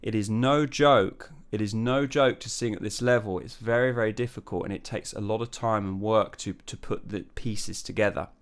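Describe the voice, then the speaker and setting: A man teaching as a voice coach, talking through technique in a relaxed way, with many fillers and restarts. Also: fairly low pitch, normal speed, hardly any pauses, clean tone. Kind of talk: singing lesson with sung falsetto demonstrations.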